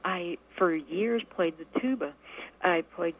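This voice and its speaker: A woman with a fairly low voice, talking in a measured, casual way as an interview guest and often pausing on fillers. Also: over a noisy crowd. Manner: measured, frequent fillers, laughs easily. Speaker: guest musician and poet. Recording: lo-fi radio recording.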